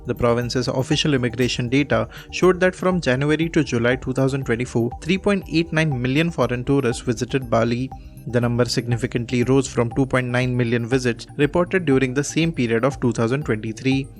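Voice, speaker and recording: A man's voice: medium pitch, fairly quick, faint music behind. A man with an Indian accent reading a scripted radio news bulletin as its presenter.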